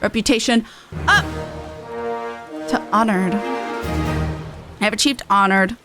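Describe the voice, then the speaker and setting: A woman's voice: medium pitch, slow, over music. A woman game streamer giving casual, playful playthrough commentary and reading menus aloud.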